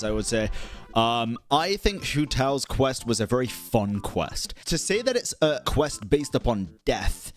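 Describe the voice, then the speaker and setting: A male gaming host talks in a casual, livestream style with a very animated, swinging pitch. He is blunt and profane, given to exasperated exclamations. Music plays behind his voice. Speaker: host of a gaming ranking video.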